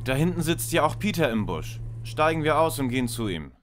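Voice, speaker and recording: A man's voice: on the high side, sing-song, fairly quick, noisy background. A man playing a bossy know-it-all boy detective leader in a parody audio drama, articulate and commanding. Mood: matter-of-fact.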